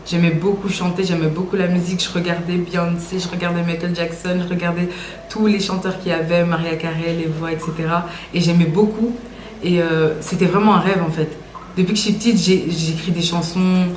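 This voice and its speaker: A woman's voice: fairly low pitch, brisk. Young woman chatting loose and slangy, lots of uhs, roomy echo, bit of hiss. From Belgium, Brussels. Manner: relaxed, slangy, with frequent hesitations. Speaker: singer interviewed about her music. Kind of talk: casual interview answers.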